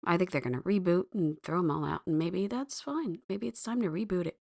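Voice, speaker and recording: A woman podcast host with a slightly hoarse voice, rambling in casual chat with a self-deprecating touch. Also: lowish voice, a little fast, darkish tone, no room sound, clean studio sound.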